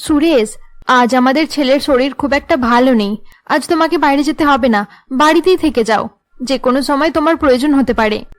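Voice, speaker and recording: Woman acting a high-voiced, sorrowful young mother. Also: fairly quick, natural voice. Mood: worried, pleading. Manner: pleading, aggrieved. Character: put-upon, sorrowful young wife and mother. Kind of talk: cartoon drama acting.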